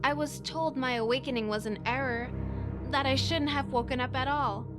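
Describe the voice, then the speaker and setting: A woman's voice: high voice, steady tone, normal speed, music in the background, lightly acted. A woman acting a young angel, distrustful and easily frightened, in a sci-fi visual novel. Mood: indignant, confused.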